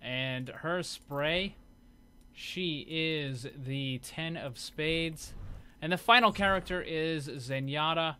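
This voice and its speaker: A man's voice: on the high side, takes it slowly, sharp tone, clear, resonant tone. A man giving casual commentary over game footage as a video host.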